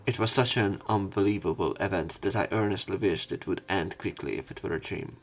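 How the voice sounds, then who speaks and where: Low-voiced man reading a visual novel. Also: fairly quick. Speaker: let's player. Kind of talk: read-aloud game narration with casual commentary.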